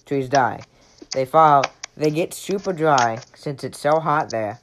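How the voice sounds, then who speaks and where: A young man with a Maine accent hosts a casual chat with friends. He rambles, cracks jokes and laughs often. There is a clear hiss of noise under the whole home recording. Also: a little high, takes it slowly. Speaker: podcast host.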